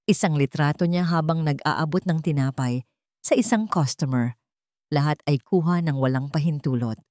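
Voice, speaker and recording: A woman's voice: low-pitched, moderate pace, a little mellow, no echo, studio quality. A woman narrating a scripted dramatic story.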